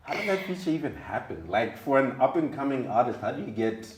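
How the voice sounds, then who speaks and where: Female interviewer, extremely deep voice with lively pitch swings, casual, frequent short affirmations, echoey room. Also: a little fast. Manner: interjecting often with short agreements. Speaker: interviewer, radio show host. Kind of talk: casual chat.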